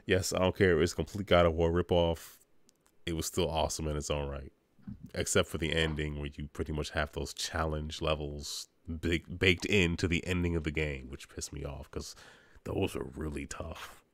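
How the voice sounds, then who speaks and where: A man's voice: rumbling low, flat delivery, normal speed, no room sound. A man chatting casually as a podcast host, rambling through game reviews with joking asides.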